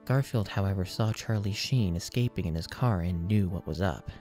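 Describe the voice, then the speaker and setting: A man's voice: sits low, normal speed, warm tone, faint music behind. A man narrating absurd fan fiction as a scripted audiobook reading. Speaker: audiobook reader.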